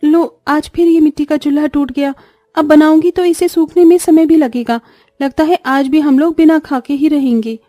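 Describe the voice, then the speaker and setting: Woman acting young daughter-in-law, cartoon family drama, high pitch, earnest, sorrowful, music underneath. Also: fairly even intonation, moderate pace, dark tone, no echo, studio quality, close to an everyday voice. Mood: distressed. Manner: earnest, plaintive. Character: poor, self-sacrificing young mother and daughter-in-law, sorrowful. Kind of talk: Hindi cartoon story voice acting.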